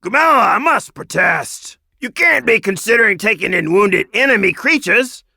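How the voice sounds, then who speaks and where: Man doing a loud, indignant old badger. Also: sits high, lively intonation, normal speed, creaky, dry recording, studio quality, voice pushed up, full character voice.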